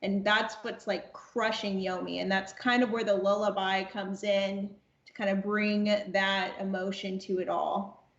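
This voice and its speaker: A woman speaking as a podcast guest in reflective interview talk, deliberate and emphatic, repeating phrases for stress. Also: medium pitch, little pitch movement, roomy sound, muffled recording. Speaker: audio drama cast member, podcast guest.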